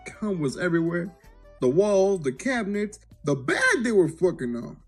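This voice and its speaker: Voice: mid-range voice, expressive, normal speed, a little mellow, faint music behind. A person giving a crude, mock-dramatic reading of a comic story, sometimes breaking off into blunt asides. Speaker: amateur story reader.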